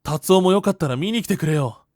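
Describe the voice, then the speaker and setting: A man playing a kind, dependable high school boy in an anime-style voice drama, gentle and lightly teasing. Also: brisk, no echo, clean studio sound, lightly acted. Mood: friendly, upbeat. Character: kind, dependable high school boy, everyone's big brother, amateur actor. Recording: music behind the voice. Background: background music.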